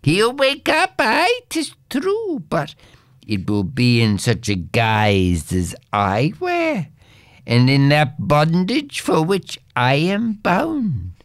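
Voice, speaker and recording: A woman's voice: sits low, wildly swooping pitch, slow, no room sound, clean studio sound, voice pushed up, exaggerated voice. A woman doing a whispery wailing goblin. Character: small wailing goblin man, once mortal, bewitched. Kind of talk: storybook reading.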